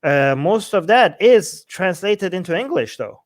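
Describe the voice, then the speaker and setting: A man co-hosting a casual question-and-answer chat, with a slightly high voice. He talks bluntly and with animation, full of fillers and restarts. He pushes his points hard and opinionated. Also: sing-song, a little slow. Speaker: co-host answering viewer questions.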